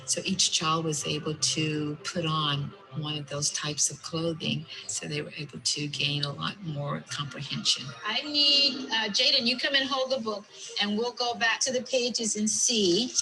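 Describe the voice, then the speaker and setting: A woman speaking as a preschool teacher, instructive and deliberate, using simple wording. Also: fairly low pitch, over music, low-quality recording. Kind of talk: instructive talk to and about young children.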